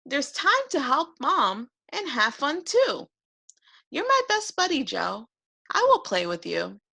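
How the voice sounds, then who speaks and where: A woman voicing a gentle, patient little boy from a children's storybook, speaking earnestly and soothingly. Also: wildly swooping pitch, takes it slowly, vocal fry, exaggerated voice. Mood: patient, reassuring. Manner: high-pitched, earnest, soothing.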